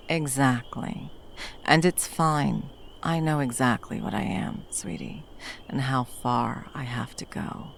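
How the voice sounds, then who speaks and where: A woman playing a battle-worn monster hunter, gruff but tenderly protective, in an intimate ASMR roleplay with soft teasing and drops into whispers. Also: a bass voice, slight background noise, heavily acted. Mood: tender, wry.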